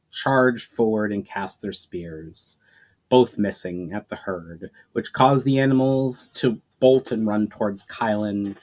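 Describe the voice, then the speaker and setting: A man reading a story aloud as a narrator, expressive and deliberate in a dramatic storybook style. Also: lowish voice. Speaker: author reading their own story aloud.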